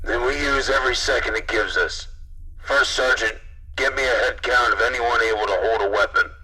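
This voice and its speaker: A man's voice: mid-range voice, fairly even intonation, normal speed, sharp tone, breathy edge, low-quality recording. A man playing a stern, resolute military commander in a sci-fi audio drama, with a clipped, authoritative manner.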